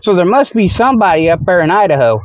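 Male solo podcast host, slightly high voice, colloquial and folksy, wandering tongue-in-cheek delivery, muffled lo-fi recording. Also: very animated, quick pace, no echo. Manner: folksy, colloquial speech with frequent "okay" and "you know".

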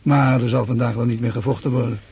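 A genial senior commander in a radio play, polite and obliging. The voice is husky, mid-pitched and very dry. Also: male voice, steady tone, quick pace, a little mellow, no echo. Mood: welcoming. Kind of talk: radio drama acting.

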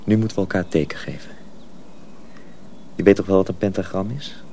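Deep, gravelly, grave journeyman mill hand. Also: fairly even intonation, brisk, dark tone, a little hiss or hum. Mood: instructing, secretive. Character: grave older journeyman mill hand, protective, sorrowful, secretive. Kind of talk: Dutch radio drama acting.

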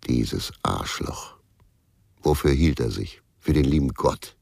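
An actor playing a stubborn, embittered, hard-drinking former policeman in a radio crime drama, blunt and confrontational, with a husky, gravelly voice. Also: rumbling low, animated, slow pace, a little mellow, full character voice. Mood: bitter, brooding.

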